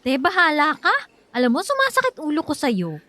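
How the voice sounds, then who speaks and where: A woman's voice: high voice, wildly swooping pitch, brisk, pushed and bright, no room sound, exaggerated voice. A young woman with a Filipino accent acting a blunt, nagging teenage best friend in a radio drama. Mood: exasperated, nagging.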